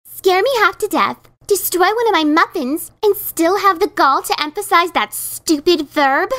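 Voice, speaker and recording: A woman as an indignant, high-voiced pegasus mare. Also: expressive, moderate pace, pushed and bright, exaggerated voice. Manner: scolding, sarcastic, emphatic. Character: exasperated, indignant gray pegasus mother mare. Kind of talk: dramatic reading of a fan story.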